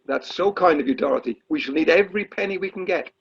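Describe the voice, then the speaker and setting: A man's voice: fairly high pitch, fast, vocal fry, slightly rough. A man playing a fussy, polite amateur dramatics director in a comic radio play, stammering and tactfully effusive. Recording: slightly dull video call audio.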